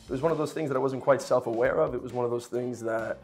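A man's voice: mid-range voice, quick pace, faint music behind. Joking New man in a kitchen. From Poland, raised in Brooklyn. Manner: lively, joking, many restarts. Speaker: guest actor. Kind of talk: casual interview chat. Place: home kitchen, indoors. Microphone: lapel.

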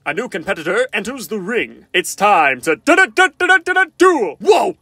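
A man's voice: high-pitched, very animated, normal speed, pushed and bright, breathy edge, no room sound, studio quality, pitched above the performer's own voice, heavily acted. A man voicing a cocky, flirty, goofy wisecracking survivor for fan-made video game lines, in a playful, theatrical, quippy manner. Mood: excited, alarmed. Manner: playful, theatrical, quippy, often sing-song.

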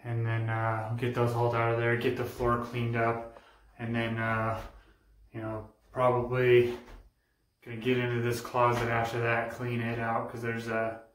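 Even-toned American man in an echoey room. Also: medium pitch, measured pace. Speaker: DIY vlogger. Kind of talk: casual hands-on renovation walkthrough. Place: small empty bedroom indoors. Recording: roomy echo, faint hiss and handling clicks.

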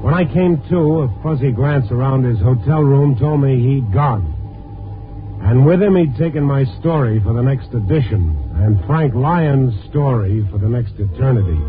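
An actor playing a dogged, world-weary newspaper reporter in the hard-boiled style of 1950s radio drama. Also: male voice, mid-range voice, animated, normal speed, phone-quality sound, soft background music, close to an everyday voice. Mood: wry, brisk. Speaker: lead and narrator. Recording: band-limited vintage radio recording.

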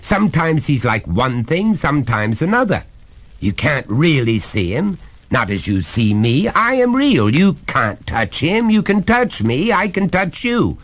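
A man's voice: fairly high pitch, sing-song, a little slow, pitched above the performer's own voice. A man voicing a stern, pompous father who lectures and bullies with cold, emphatic precision in a storybook reading. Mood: insistent, menacing.

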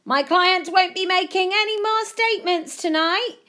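A woman voicing a confident, cheeky schoolboy who acts like a brisk, self-important manager in a children's story. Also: high voice, sing-song, pitched above the performer's own voice. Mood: cheeky, bossy.

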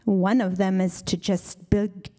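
A middle-aged woman from Argentina answering reflectively as a guest lecturer, earnest and measured, into a handheld microphone on an auditorium stage. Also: medium pitch, level tone, warm tone.

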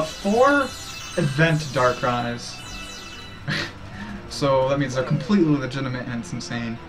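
A young man streaming a game from a small room, chatting and giggling as he reads out chat messages. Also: fairly high pitch. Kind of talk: casual live-stream chat. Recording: game music underneath.

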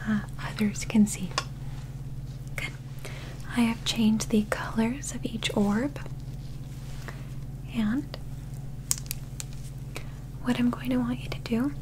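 A young woman doing a soft-spoken ASMR medical roleplay in a breathy whisper, with gentle, measured, clinical instructions. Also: on the high side, background noise. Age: young adult. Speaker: ASMR performer playing an alien medical examiner. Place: small indoor set.

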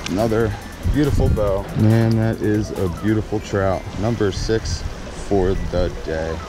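A man's voice: on the low side, measured pace, music in the background. A man giving chatty, upbeat running commentary to viewers while fishing by a creek outdoors. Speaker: fishing vlogger. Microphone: body-worn action camera.

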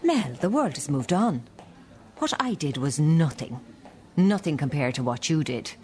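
A woman's voice: deep voice, big pitch swings, a little slow, a little mellow. An actress with an Irish accent playing an embittered middle-aged mother in a radio play, cutting and confrontational. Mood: resentful.

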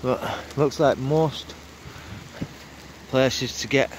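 A man's voice: mid-range voice, steady tone, very slow. A man gives a casual, hesitant running commentary as an exploring vlogger, thinking aloud as he walks outdoors with a handheld phone. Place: outdoors among derelict buildings in winter woodland. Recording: wind and outdoor noise on phone mic.

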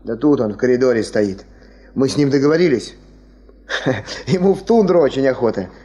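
An actor playing an experienced, good-natured male field hand in a 1970s radio drama, folksy and unhurried. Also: mid-range voice, lively intonation, dark tone, natural voice. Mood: good-natured, practical.

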